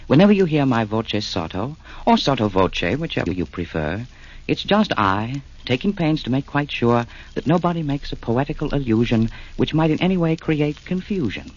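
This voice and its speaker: A man's voice: mid-range voice, very animated, moderate pace. A man giving playful, confiding asides in a comic radio drama. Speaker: aside narrator. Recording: dull old radio broadcast with music.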